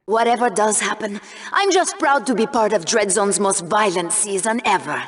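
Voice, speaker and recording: A woman's voice: medium pitch, animated, moderate pace, natural voice. A woman playing a sardonic, bloodthirsty co-host of a sci-fi sports show in a video game, bantering theatrically. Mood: eager commentary. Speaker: co-host, commentator.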